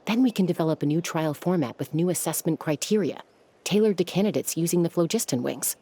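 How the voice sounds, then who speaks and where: An actress plays a cautious young warrior woman in a fantasy game. Her voice is low and dark, measured and reasoned, with faint ambience behind it. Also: slight background noise, close to an everyday voice. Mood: reserved, analytical. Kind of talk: video game voice acting.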